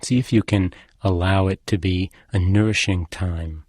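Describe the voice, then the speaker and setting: A man leading a guided meditation as an instructor, speaking in a calm, measured and gently instructing manner. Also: deep voice, husky, dry recording.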